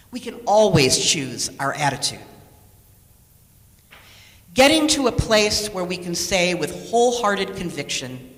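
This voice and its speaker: A woman reading a scripted sermon as a lay speaker, measured and deliberate, pausing for emphasis. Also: fairly low pitch, fairly bright tone. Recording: echoey hall with long reverb.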